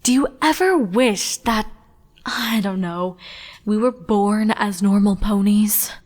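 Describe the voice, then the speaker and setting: A woman's voice: mid-range voice, slow, darkish tone, in a large hall. A woman voicing a weary, dry-humored pony princess in a storybook reading, with a sighing, sarcastic, grumbling manner. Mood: tired, evasive.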